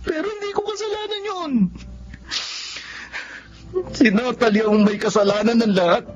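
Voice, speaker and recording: A man's voice: very high-pitched, normal speed, lo-fi sound, a little hiss or hum. A man with a Filipino accent playing an impassioned, anguished young lover in a Tagalog radio drama. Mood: frustrated, aggrieved. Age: young adult.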